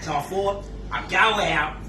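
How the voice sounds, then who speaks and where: A man's voice: high-pitched, unhurried. Australian male comedian, bawdy stand-up, off-mic, noisy echoing room. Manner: crude, laughs at his own jokes. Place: comedy club stage. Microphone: away from the mic, unamplified. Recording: echoey room, distant, noisy.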